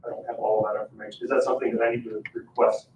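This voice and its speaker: A man's voice: on the low side, level tone, rapid-fire, darkish tone, slight background noise. A man answering carefully and apologetically as a stand-in presenter at a public meeting. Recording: echoey, distant, muffled meeting recording.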